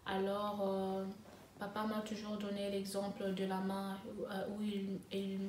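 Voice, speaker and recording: Young woman, twenties, interviewee, halting delivery, flat pitch, roomy echo. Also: far from the mic, slight background noise. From Congo (DRC), raised in South Africa. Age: 20s. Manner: hesitant, many fillers and restarts. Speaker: interviewee, master's student. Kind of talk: interview answers. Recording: echoey room with faint ambience.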